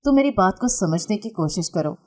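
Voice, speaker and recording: A woman's voice: mid-range voice, animated, fairly quick, a little mellow, close to an everyday voice. A woman voicing a young man of about twenty-five who pleads earnestly and reassures. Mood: pleading.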